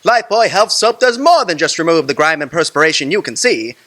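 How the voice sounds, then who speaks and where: A man's voice: fairly high pitch, sing-song, fairly quick, a bit bright. A man reading an old-style radio soap advert in an upbeat, persuasive way. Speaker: announcer and narrator.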